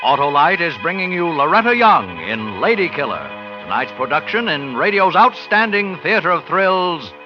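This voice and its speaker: A man announcing a vintage radio show in a scripted, dramatic, measured style, on an old muffled recording. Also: fairly high pitch, very animated. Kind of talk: 1940s scripted sponsor announcing. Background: dramatic music.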